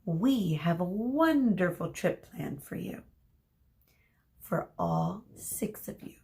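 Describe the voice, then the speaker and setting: A woman reading a storybook as a theatrical, gleefully cruel female teacher who draws out her words with dramatic pauses. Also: fairly low pitch, animated, creaky, mellow, heavily acted. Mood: mock reassuring. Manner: drawn-out dramatic pauses, exclaiming.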